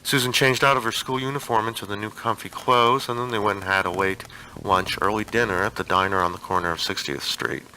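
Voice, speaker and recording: A man's voice: fairly low pitch, brisk, bright, forceful tone, slight background noise, rough recording. A man reading a piece of fiction aloud as a narrator, in a scripted audiobook style.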